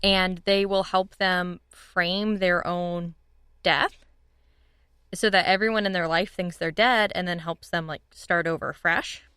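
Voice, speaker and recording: A woman's voice: lowish voice, fairly even intonation, unhurried, bright, forceful tone, clear, resonant tone, no echo, studio quality. A young woman chatting casually as a guest on a podcast. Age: young adult. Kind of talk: casual chat.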